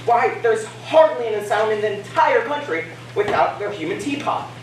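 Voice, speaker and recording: A woman's voice: fairly high pitch, lively intonation, normal speed, lightly acted. A woman on stage playing a boisterous, pompous dinner guest who tells absurd tales with theatrical emphasis. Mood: pompous, amused. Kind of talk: amateur stage comedy acting. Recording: echoey stage, distant mic, noisy.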